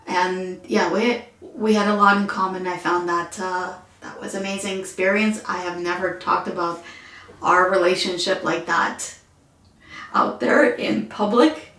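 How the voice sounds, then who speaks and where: A woman with a Ukrainian accent, talking casually and softly in a personal vlog, pausing often with fillers. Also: fairly low pitch, steady tone, echoey room, poor audio. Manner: soft-spoken, halting with fillers. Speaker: vlogger.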